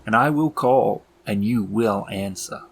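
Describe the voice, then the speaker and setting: A man's voice: on the low side, gravelly, a little hiss or hum. A man voicing a menacing, mocking elf clown villain in a theatrical, taunting way for a tabletop game. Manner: theatrical, slow taunting delivery with sudden outbursts.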